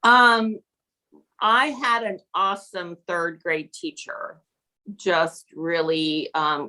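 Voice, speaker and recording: A woman who grew up in Peru, a teacher and mentor, talking in a podcast interview in a halting way, with many fillers and restarts. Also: lowish voice, bright, forceful tone, low-quality recording. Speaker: National Board certified teacher and mentor.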